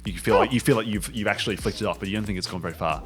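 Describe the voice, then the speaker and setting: A man's voice: fairly low pitch, rapid-fire. A man improvising comic narration as game master, conversational and amused.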